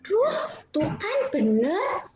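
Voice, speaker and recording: A woman's voice: very high-pitched, huge pitch swings, drawn-out words, darkish tone, pitched above the performer's own voice, exaggerated voice. A woman voicing a petulant male prophet in a children's Bible story, whining and complaining. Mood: sulky, angry. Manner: whiny, complaining. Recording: muffled, echoey room with background noise.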